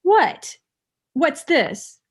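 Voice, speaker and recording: A woman plays a prickly, resentful ex in a comedy table read. Her voice is fairly high, and her delivery is blunt and deadpan, always pressing for answers. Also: drawn-out words, heavily acted. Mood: angry, demanding.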